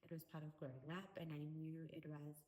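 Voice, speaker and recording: A woman's voice: low voice, flat delivery, fairly quick, darkish tone. A South African woman in her thirties, an Afrikaans first-language speaker, talking openly and reflectively as an interview guest. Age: 30s. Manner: open and reflective, laughs easily. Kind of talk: candid personal interview.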